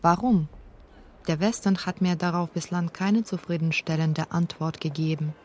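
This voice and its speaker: A woman's voice: lowish voice, steady tone, normal speed, a little mellow, a little hiss or hum. A woman reading scripted narration for a radio documentary. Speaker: narrator and author of a radio feature.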